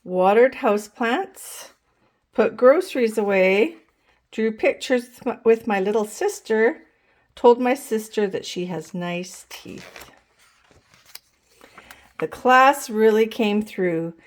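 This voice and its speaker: Female storytime reader, higher-pitched, unhurried, expressive delivery for small children. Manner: animated, deliberate, addressing young listeners. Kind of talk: expressive children's picture book read-aloud.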